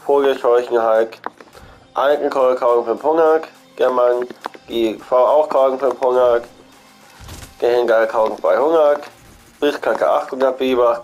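A man's voice: medium pitch, darkish tone. Chatty man with a cold. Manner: chatty, repetitive, thinks aloud. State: has a cold. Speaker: gaming channel host. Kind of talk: casual game shop commentary.

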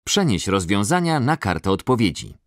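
A man reading formal instructions for a listening exam in a slow announcing manner. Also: fairly low pitch, very animated, slight background noise.

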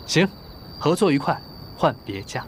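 A man's voice: fairly high pitch, sing-song, moderate pace, a little hiss or hum. Bossy, fussy young male executive. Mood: firm. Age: young adult. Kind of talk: TV romance drama dialogue.

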